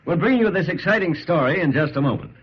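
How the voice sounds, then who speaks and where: An actor playing an enthusiastic sports reporter who narrates in an earnest, punchy old-time radio style with an American accent. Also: male voice, fairly high pitch, animated, fast talker, breathy edge. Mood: dramatic storytelling. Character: enthusiastic male sports reporter, the ballplayer's pal. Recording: muffled, hissy old radio recording.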